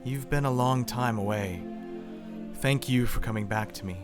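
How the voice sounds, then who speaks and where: An actor plays a steady, caring husband in a radio play, in a mid-pitched voice with music behind him. He talks softly and with patient understanding. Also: normal speed, natural voice. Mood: gentle, concerned.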